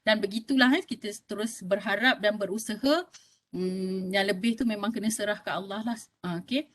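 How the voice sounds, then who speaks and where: A woman's voice: medium pitch, moderate pace, a bit bright. Malaysian woman in her fifties giving a health talk. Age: 50s. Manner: conversational, keeps asking "okey?" to the audience. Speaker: health talk speaker, doctor.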